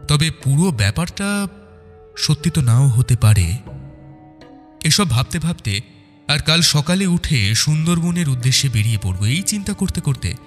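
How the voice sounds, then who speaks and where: A man's voice: a little high, very animated, moderate pace, long pauses, in a large hall, soft background music. A man narrating a detective audio story in a dramatic, scripted first-person style.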